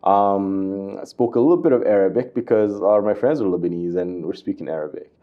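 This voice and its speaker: A man's voice: fairly low pitch, fairly quick, muffled recording. A man who speaks Somali as his first language and grew up in Canada, chatting as a guest in an interview. He talks easily and repeats words while he looks for the right phrase. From Somali, came to Canada at nine. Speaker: comedian and comedy club organizer. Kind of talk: casual interview chat.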